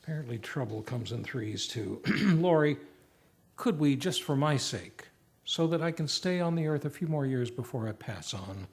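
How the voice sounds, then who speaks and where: A man playing a sardonic radio show announcer, speaking in a measured, theatrical, scripted style. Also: medium pitch, expressive, close to an everyday voice. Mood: exasperated. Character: exasperated, dryly sarcastic older radio show announcer. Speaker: host.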